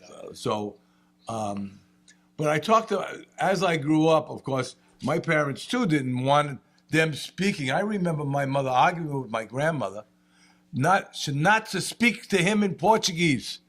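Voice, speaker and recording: A man, speaking on a panel and telling family stories with animated humor. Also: a little high, unhurried. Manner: animated storyteller, laughs at own jokes. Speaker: state representative. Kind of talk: panel remarks with anecdotes.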